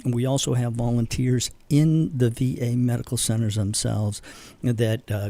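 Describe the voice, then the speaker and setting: Earnest older man with a mellow voice. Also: medium pitch. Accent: Boston. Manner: earnest, heartfelt, with fillers and restarts. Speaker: veterans organization leader. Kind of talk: radio interview guest.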